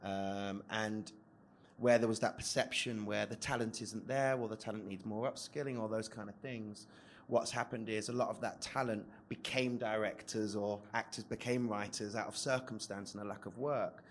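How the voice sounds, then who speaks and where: A man with a fairly low voice speaks earnestly on a live panel. He talks at length with many fillers, and a faint hum sits behind him. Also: steady tone, normal speed. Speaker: panellist writer. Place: event venue, live audience.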